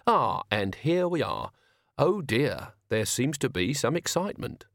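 A man voicing a smiling young male villain who speaks softly and genially with quiet menace. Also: mid-range voice, very animated, measured pace, vocal fry, no echo, studio quality, exaggerated voice. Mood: silkily menacing. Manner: soft, genial and quietly threatening.

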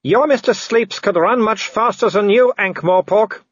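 Gleeful, high-pitched werewolf villain. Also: male voice, no echo, highs cut off. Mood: gleeful taunting. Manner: mocking, halting non-native phrasing. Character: cheerfully cruel foreign male werewolf villain, taunting.

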